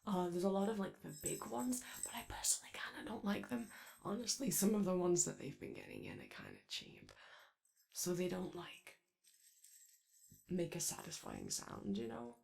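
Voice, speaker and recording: Hesitant, low-voiced woman as a gentle daycare robot, creaky. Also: huge pitch swings, normal speed, slight background noise, exaggerated voice. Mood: shy, chatty. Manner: hesitant, rambling, tentative, frequent trailing off. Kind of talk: roleplay ASMR, improvised-sounding casual chat.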